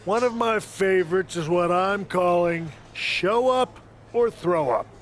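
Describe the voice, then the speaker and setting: A man's voice: high-pitched, animated, a little slow, a little hiss or hum. A man playing a wry wrestling trainer who sets challenges, in video game cutscene dialogue. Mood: wry, challenging.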